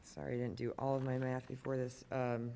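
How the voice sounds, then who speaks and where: Raspy guy at a council meeting, asks budget questions bit by bit, faint hum behind. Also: mid-range voice, monotone, brisk, mellow. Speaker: city alderman. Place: council chamber.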